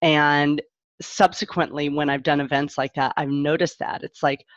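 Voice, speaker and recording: A woman's voice: low-pitched, flat delivery, moderate pace, no room sound, lo-fi sound. A middle-aged woman talking candidly and reflectively in a casual live stream as a parent coach, often interrupting herself.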